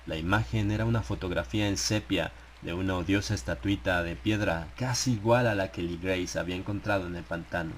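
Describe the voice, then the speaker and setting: A man's voice: deep voice, fairly quick, hardly any pauses. A man narrating a horror audiobook in a dramatized, first-person style. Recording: rain and ambient noise behind. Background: rain sound effects.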